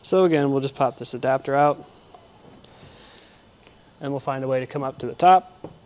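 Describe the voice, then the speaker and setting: A man's voice: mid-range voice, normal speed, darkish tone. A young man in his twenties casually explaining a kayak carrier install step by step while working around a vehicle in an indoor garage. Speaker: product installer and presenter. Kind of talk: product installation demo.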